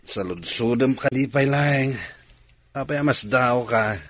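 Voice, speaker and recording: An actor playing a gruff, dismissive, drunk young groom in a radio drama, on a faintly noisy recording. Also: male voice, medium pitch, a little slow, a bit bright, no echo. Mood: dismissive, groggy. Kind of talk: Ilocano radio drama acting.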